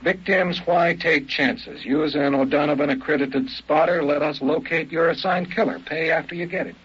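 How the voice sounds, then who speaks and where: An actor playing an eager, high-strung hunter in a future society, in old-time radio drama style, excitable and restless. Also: male voice, normal speed, husky. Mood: keyed up. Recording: muffled vintage radio recording with noise.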